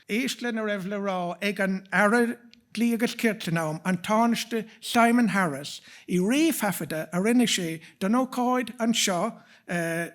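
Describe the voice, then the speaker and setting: A speaker with an Irish accent, hosting an event and presenting in a formal but live style. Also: mid-range voice. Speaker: event host. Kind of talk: bilingual event presenting to an audience.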